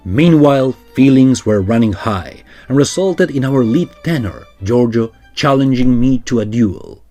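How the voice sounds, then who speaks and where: An actor playing an earnest opera troupe gentleman, formal and old-fashioned, in a dramatised narration. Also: medium pitch, expressive, measured pace, faint music behind, close to an everyday voice. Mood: measured narrating.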